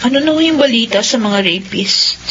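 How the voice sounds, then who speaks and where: A woman's voice: a little high, lively intonation, normal speed, a bit bright. A woman playing a polite, sympathetic young friend in a radio drama. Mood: sympathetic, anxious. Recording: dull radio audio, faint noise.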